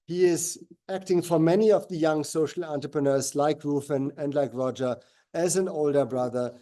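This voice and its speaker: A man's voice: a little high, dark tone. Earnest man hosting a video call. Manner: earnest, halting with fillers and restarts. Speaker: host. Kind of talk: moderating a live video call.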